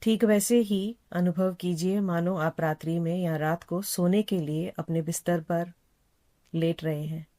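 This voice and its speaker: A woman yoga instructor leading a guided meditation in a calm, unhurried, soothing manner, repeating phrases gently. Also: fairly low pitch.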